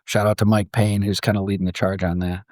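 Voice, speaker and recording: A man's voice: lowish voice, level tone, fast talker, a little mellow, dry recording, studio quality. A man hosting a podcast, moving between conversation and scripted story narration. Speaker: podcast host.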